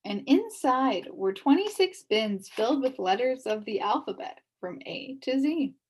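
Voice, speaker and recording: A woman reading a children's novel aloud with expressive, animated storytelling. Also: fairly high pitch, unhurried, slightly rough. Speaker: storybook reader.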